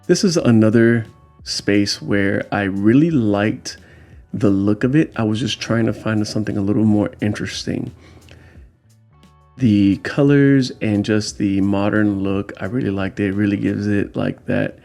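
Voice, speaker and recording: A man's voice: lowish voice, unhurried, darkish tone, soft background music. A man hosting his own vlog, talking through his street photos in a casual, explanatory voiceover with frequent fillers. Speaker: street photographer and channel host.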